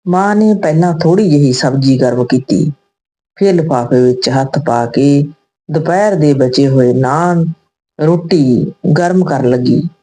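A woman with a low-pitched, soft-edged mellow voice. She reads scripted narration as a storyteller, telling an emotional moral tale. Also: slightly rough, rough recording.

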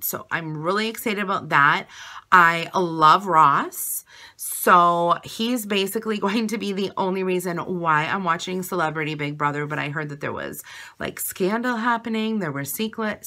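Raspy airy-voiced lady chatting to her phone cam at home, kinda breathy from asthma, bright edgy tone, lots of ums. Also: lowish voice. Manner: chatty, rambling with frequent ums. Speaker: vlogger. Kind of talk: casual chat to camera. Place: dim bedroom at home. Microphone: webcam or phone at arm's length.

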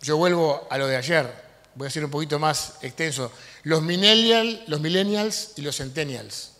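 A middle-aged man giving an animated conference lecture on a handheld mic in a large university auditorium, with fillers and restarts. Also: fairly high pitch. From Uruguay. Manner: animated, gestures while speaking, frequent fillers and restarts. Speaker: lecturer and politician. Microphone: handheld microphone. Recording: long hall reverb.

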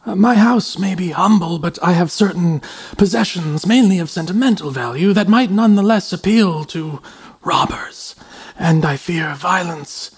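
A man's voice: high-pitched, animated, moderate pace, slightly rough, heavily acted. An actor playing a meek, fearful, grateful old man who speaks hesitantly and effusively in old-fashioned formal language in a radio drama. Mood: anxious, meek. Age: older.

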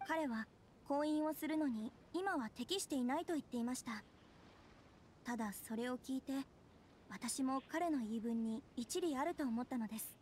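High-voiced, polite young noblewoman played by an actress. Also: moderate pace, slight background noise, natural voice. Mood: troubled, hesitant. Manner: formal, humble, soft-spoken politeness. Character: polite, gentle young noblewoman with quiet worries. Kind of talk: Japanese video game voice acting. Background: background music.

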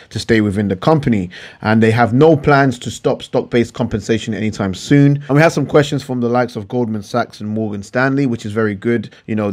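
A man's voice: mid-range voice, darkish tone. A middle-aged man gives informal explanatory commentary as a finance YouTuber, repeating phrases for emphasis. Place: indoor room at a computer.

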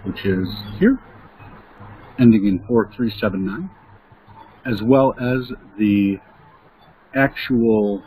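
A man giving an unscripted, somewhat halting walkthrough as a software demonstrator. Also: lowish voice, animated, poor audio. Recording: faint background noise.

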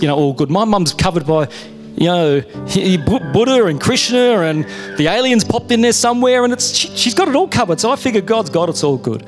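A middle-aged man from Australia with an Australian accent, sharing a personal testimony on a church stage in a chatty, storytelling way that is full of restarts, speaking into a handheld mic. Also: a little high. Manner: conversational storytelling with self-interruptions. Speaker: guest speaker.

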